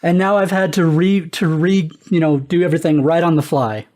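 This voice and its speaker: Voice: medium pitch, a little fast, a little mellow, slight background noise. Playful podcast panelist improvising a bit. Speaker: comedy debate podcast panelist. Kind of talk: improvised comedic pitch in casual panel chat.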